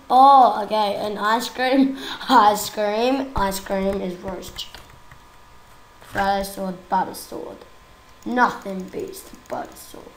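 A young woman with a croaky voice from a cold, chatting casually and giggling while she plays a game. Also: medium pitch, roomy sound, a little hiss or hum, low-quality recording. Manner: chatty, giggly, easily exasperated. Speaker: gaming YouTuber. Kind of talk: casual gameplay commentary.

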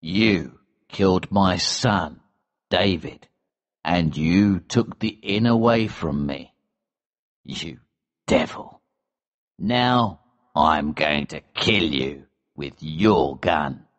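A man playing a grim older smuggler in storybook dialogue, with a calm, cold, deliberate manner. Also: deep voice, lo-fi sound, voice pushed down. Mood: cold, vengeful. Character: grim, vengeful older smuggler, fatherly to a boy.

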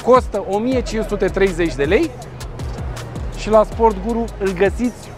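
A man in his thirties presents an outdoor car meet in a joking, energetic way. His voice sits high for a man. Faint music plays underneath. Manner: lively, joking, talks with his hands. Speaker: car show host. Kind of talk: casual on-location presenting.

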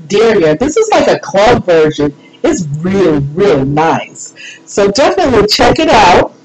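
A woman hosting a show in a casual, unscripted way, chatty and a little halting as she goes. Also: a little high, rough recording. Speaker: radio show host.

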